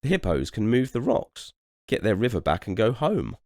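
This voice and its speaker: Man voicing a loud, bossy grumbling elephant. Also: fairly low pitch, sing-song, normal speed, no room sound, clean studio sound, pitched above the performer's own voice. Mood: pleased, pushy.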